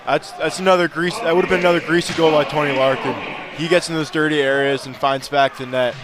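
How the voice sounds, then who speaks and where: A man's voice: fairly high pitch, fairly even intonation, fairly quick. A man giving conversational, analytical color commentary on a live radio hockey broadcast.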